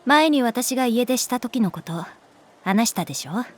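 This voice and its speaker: A woman voicing a calm, resigned, gently protective art teacher in a Japanese audio drama, speaking in a soft, measured, wistful way. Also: fairly high pitch, a little hiss or hum, lightly acted. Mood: wistful, confessional. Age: adult. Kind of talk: Japanese audio drama acting, intimate dialogue.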